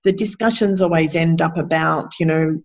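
A woman's voice: low voice, steady tone, moderate pace, highs cut off. A woman, a guest researcher, speaking earnestly and emphatically in an academic conversation. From Australia. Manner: earnest, emphatic, repeats words.

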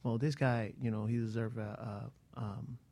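Man, mid-pitched voice, relaxed host, casual anecdote. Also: moderate pace, creaky. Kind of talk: casual chat.

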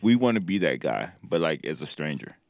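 A man's voice: very deep voice, very animated, fairly quick, a little mellow, no echo, clean studio sound. A young man co-hosting a podcast in casual chat, laid-back and rambling, trailing off into fillers. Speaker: podcast co-host. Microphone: studio mic.

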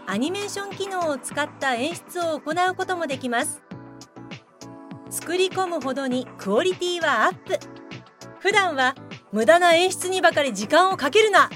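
A woman playing a polite explanatory narrator who presents scripted software tutorials in a radio drama. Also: extremely high pitch. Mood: playfully emphatic. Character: bright instructional narrator of software tips.

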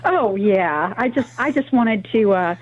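A woman's voice: mid-range voice, lively intonation, measured pace. A woman from Delaware calling a radio show, friendly and a little hesitant. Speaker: caller. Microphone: telephone. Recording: tinny phone line.

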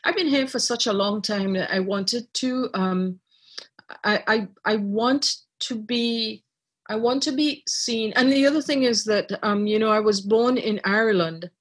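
A middle-aged woman from Trinidad, a writer and artist, talking reflectively in an interview with many pauses and restarts. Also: on the high side, slightly rough. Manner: thoughtful, many fillers and restarts.

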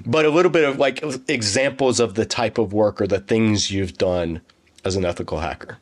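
A male interview host with a fairly low voice. He talks a lot and with enthusiasm, steering the conversation with his questions. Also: moderate pace.

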